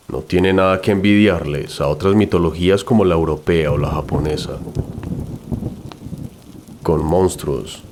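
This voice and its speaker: A man's voice: sits low, darkish tone, a little hiss or hum. A man narrating a scripted first-person horror story.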